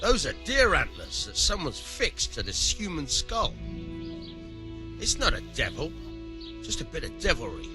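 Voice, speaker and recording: A man's voice: fairly high pitch, wildly swooping pitch, measured pace, pauses often, over music. An actor playing a wry, knowledgeable medieval man in a video game, speaking in an earthy, considered manner. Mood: wry, appraising. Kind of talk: video game dialogue acting.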